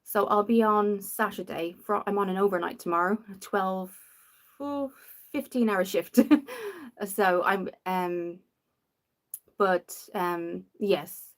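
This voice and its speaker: A young woman from Dublin with a softened Irish accent, rambling casually while hosting a makeup livestream and answering viewers. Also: mid-range voice, slow pace. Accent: Dublin Irish, softened after years in the UK. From Ireland. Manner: chatty and rambling, reads out viewer comments.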